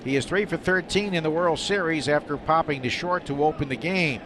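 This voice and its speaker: Heavy outdoor ambient noise surrounds a man announcing baseball for radio. His voice sits somewhat high for a man as he gives live play-by-play commentary. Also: fairly quick. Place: outdoor stadium broadcast. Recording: very noisy outdoor ballpark broadcast. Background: loud steady outdoor ambient noise.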